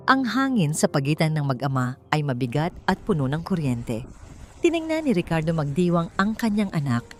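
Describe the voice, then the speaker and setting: A woman's voice: deep voice, a little mellow. A woman narrating a dramatic scripted story as an audiobook reader. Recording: music bed behind the voice.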